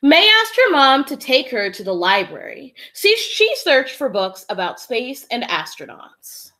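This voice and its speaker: A young woman reads a children's story with clear expression. Her voice sits a little high and moves up and down animatedly, with a bright, forward tone. She tells it deliberately, as a teacher would. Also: huge pitch swings. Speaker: teacher reading aloud to children. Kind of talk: storybook read-aloud. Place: small indoor room with classroom decorations. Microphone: webcam or laptop at arm's length.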